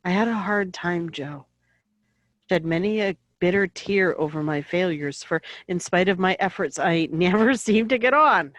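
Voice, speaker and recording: A woman acting a gentle, devout middle-aged mother in an audio play, giving tender, measured counsel. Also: on the low side, dry recording, muffled recording, lightly acted. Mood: wistful confession.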